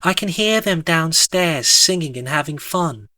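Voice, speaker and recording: A man's voice: fairly high pitch, expressive, a little slow, bright, forceful tone, dry recording, exaggerated voice. A man voicing a chirpy, genteel, dotty lady. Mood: cheery. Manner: chirpy, sing-song, gushing. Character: cheery, dotty, genteel woman, dreamy and fussy.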